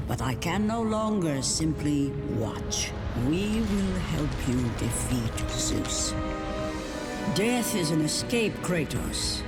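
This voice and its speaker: A woman voicing an ancient, solemn Titan earth mother in a video game, low-voiced, measured and commanding, like a mythic narrator. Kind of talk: scripted mythic narration, game cutscene acting. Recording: loud cinematic music behind.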